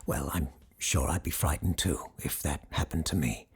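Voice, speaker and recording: Woman acting husky, low-voiced occult radio host. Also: darkish tone, heavily acted. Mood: grave, scholarly. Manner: measured, ominous, scholarly. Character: grave occult expert hosting a supernatural call-in radio show. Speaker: radio call-in show host. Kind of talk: audio drama acting.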